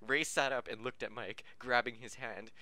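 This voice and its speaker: A man's voice: medium pitch, fairly even intonation, moderate pace, bright, forceful tone, dry recording. A man reading fan fiction aloud on a podcast, stumbling over words and correcting himself, often amused and breaking into laughter. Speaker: podcast reader.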